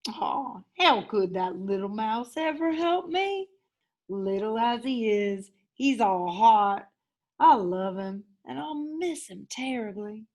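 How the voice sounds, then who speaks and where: A woman voicing a huge, big-hearted male whale in a storybook, tender and helpless, with halting, heartfelt delivery. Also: a little high, big pitch swings, a little mellow, full character voice. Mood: fond, chuckling.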